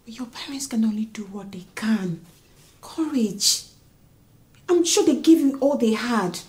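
An actress playing a young wife who reproaches her husband for mistreating his mother, moving from hushed whispers to shrill scolding. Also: lively intonation, measured pace. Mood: reproachful. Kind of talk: melodrama acting.

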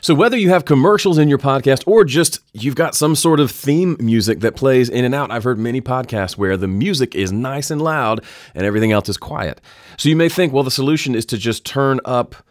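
Slightly nasal man explaining audio software. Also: medium pitch, dry recording, clean studio sound. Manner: friendly, explanatory, even volume. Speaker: audio tutorial host. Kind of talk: screen-recorded software tutorial. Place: home studio with keyboards and guitars. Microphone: studio condenser mic close up.

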